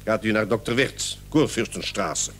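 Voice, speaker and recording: An actor playing an irritable, self-righteous doctor in a radio play, pompous and scolding. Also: male voice, on the low side, moderate pace, lightly acted. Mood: exasperated.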